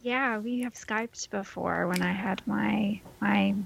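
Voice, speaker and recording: A woman in her forties co-hosting a casual podcast interview, emphatic and quick with her asides. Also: mid-range voice, creaky, slight background noise. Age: 40s. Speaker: podcast co-host. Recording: dry, dull lo-fi sound.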